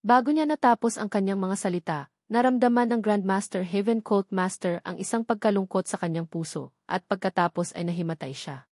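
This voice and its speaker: A woman's voice: mid-range voice, a little fast, darkish tone, dry recording, studio quality. A woman reading a scripted story as an audiobook narrator.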